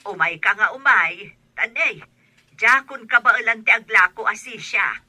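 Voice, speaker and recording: A woman's voice: a little high, lively intonation, measured pace, sharp tone, exaggerated voice. Woman acting piercing telephone-filtered Ilocano aunt. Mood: affectionate, inviting. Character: friendly middle-aged provincial aunt on the telephone. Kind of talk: Ilocano audio drama acting.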